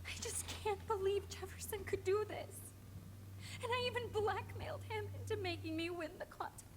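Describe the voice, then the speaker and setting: Tearful, very high-pitched captive teenager. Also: female voice, normal speed, background noise. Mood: guilty, distraught. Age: young adult. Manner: pleading, self-blaming. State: tearful, crying. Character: terrified, helpless eighteen-year-old girl held captive. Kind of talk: video game voice acting.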